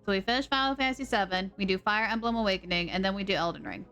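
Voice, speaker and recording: A woman streaming a game, chatting casually and rambling as she thinks out loud. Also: medium pitch, racing, faint music behind. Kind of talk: casual live-stream chat.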